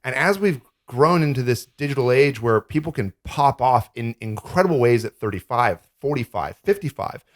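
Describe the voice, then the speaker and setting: A man in his thirties, co-hosting a podcast in an animated, jokey casual chat. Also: mid-range voice. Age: 30s. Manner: animated, jokey, quick lists. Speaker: podcast co-host.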